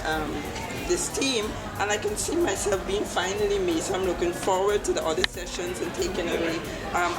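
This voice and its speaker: Woman, attendee, devout, measured, lowish voice, outdoors, loud music. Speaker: conference attendee. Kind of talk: testimonial.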